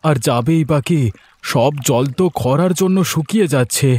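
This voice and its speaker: A man's voice: a little high, moderate pace, darkish tone, a little hiss or hum, natural voice. A man voicing a poor village rice farmer, weary but hopeful, earnest and plain-spoken, in a children's cartoon.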